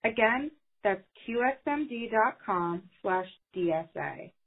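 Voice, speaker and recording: Woman podcast host, kinda breathy, upbeat, sounds like a phone call. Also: medium pitch, lively intonation, a little slow. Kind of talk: podcast hosting and sponsor read. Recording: tinny phone-like line.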